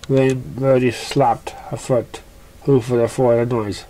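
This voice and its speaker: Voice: mid-range voice, level tone, slow, dark tone, rough recording. A narrator reading a story aloud, occasionally stumbling over the written words. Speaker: fan fiction narrator.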